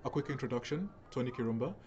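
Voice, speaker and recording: A man's voice: medium pitch, quick pace, highs cut off. A young man from Kenya gives a recorded talk to an event audience, explaining things and repeating phrases for emphasis. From Kenya (Nairobi). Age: young adult. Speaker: speaker, software professional. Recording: steady background noise.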